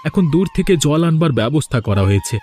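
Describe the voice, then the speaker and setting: A man's voice: a little high, big pitch swings, fast, darkish tone. A man voicing a worried, humble village husband in a cartoon, earnest and questioning, with music underneath. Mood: practical. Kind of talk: Bengali cartoon dubbing.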